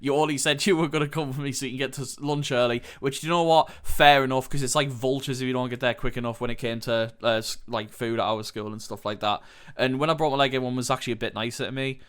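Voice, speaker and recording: A young man with a British accent speaks in a candid, rambling, sweary way, his voice a little nasal from an oncoming cold. His tone stays smooth and clear, at an ordinary middle pitch for a man. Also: fairly even intonation, quick pace, a bit bright. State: coming down with a cold. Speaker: podcast host. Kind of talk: candid solo podcast monologue.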